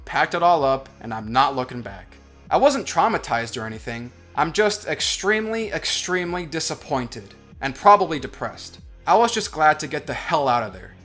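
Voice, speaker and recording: A young man in college giving sarcastic, deadpan commentary as a voice-over. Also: on the high side. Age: young adult. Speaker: commentary vlogger. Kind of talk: sardonic scripted commentary over animation.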